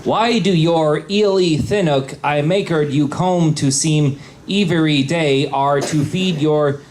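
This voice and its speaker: A man reading garbled fanfiction aloud for comedy, deliberately sounding out every misspelling. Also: on the high side, darkish tone, a little hiss or hum. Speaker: panel reader.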